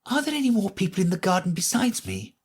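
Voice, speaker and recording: A man voicing a polite, curious young girl in a storybook reading, with a husky, higher voice. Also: wildly swooping pitch, fast, heavily acted. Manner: timid, polite, wondering.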